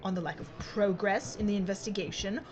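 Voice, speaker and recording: A woman's voice: mid-range voice, fairly even intonation, brisk, a little hiss or hum, close to an everyday voice. An actress playing a haughty, mischievous aristocratic British lady in a wartime mystery audio drama, arch and wry in manner. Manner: arch, wry, well-bred.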